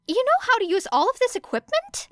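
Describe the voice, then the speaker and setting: An earnest, nerdy teenage boy played by a woman, high-pitched and hurried. He rambles through details with analytical curiosity, in a clean, dry audiobook sound. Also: big pitch swings, no echo. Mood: curious, flustered.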